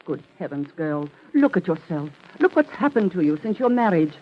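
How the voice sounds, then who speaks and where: An actress playing a blunt, worried, protective middle-aged aunt in 1940s radio drama style. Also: female voice, fairly low pitch, very animated, moderate pace, a little hiss or hum. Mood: stern, concerned. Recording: muffled old radio recording.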